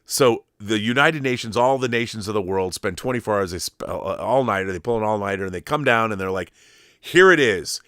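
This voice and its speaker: A man's voice: on the low side, fairly quick, few pauses, a bit bright, no room sound, studio quality. A man hosting a casual, opinionated pop culture talk, rambling and digressive, with emphatic, sweary asides. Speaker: host answering live viewer questions.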